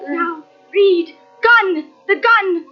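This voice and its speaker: A frightened young woman in an old radio thriller, her very high voice urgent and breathless. She thinks frantically in short repeated phrases, on a muffled tape with music faintly behind. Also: extremely slow, heavily acted. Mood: desperate, urgent. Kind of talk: old-time radio suspense drama acting.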